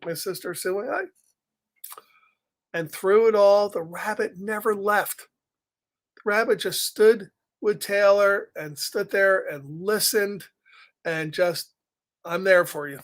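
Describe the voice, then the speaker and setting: A man hosting a story time, reading a picture book aloud gently with chatty asides and questions, sometimes dropping almost to a whisper. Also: sits high, takes it slowly, long pauses. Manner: gentle, conversational, often asks questions and drops into whispers. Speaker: story time reader and host.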